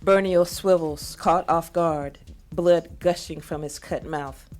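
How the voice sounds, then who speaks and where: A woman's voice: lowish voice, fairly even intonation, takes it slowly, darkish tone. A woman reading scene directions as the narrator of a scripted audio drama.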